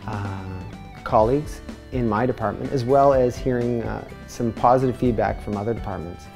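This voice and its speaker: A middle-aged man, a pipe trades instructor, speaking in a measured way with some hesitations in a studio interview. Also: mid-range voice, warm tone. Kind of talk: testimonial interview. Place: studio with dark backdrop. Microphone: lapel mic.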